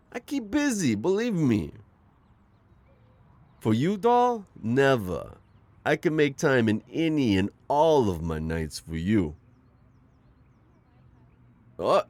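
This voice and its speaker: Charming smitten mob boss played by a man, ASMR roleplay, mid-pitched, animated intonation, halting flirty delivery. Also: dry recording. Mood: amused, defensive. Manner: hesitant, rambling, flirtatious murmur. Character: smitten, charming mafia boss courting a date.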